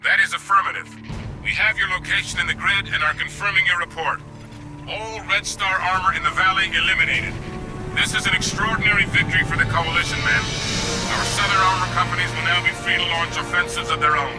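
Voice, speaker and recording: Commanding military officer, raised voice over loud music. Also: male voice, high voice, steady tone, brisk, poor audio. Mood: formal, approving. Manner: clipped, formal, commanding. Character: authoritative male military command officer briefing troops. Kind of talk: video game mission briefing. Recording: loud music and engine noise behind.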